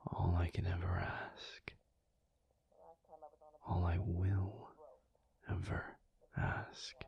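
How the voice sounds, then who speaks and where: A man playing a tender, weary, protective alpha werewolf in an intimate audio roleplay, speaking gently and haltingly in a breathy, low, often whispered voice. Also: monotone, exaggerated voice. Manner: slow, gentle, halting, reassuring.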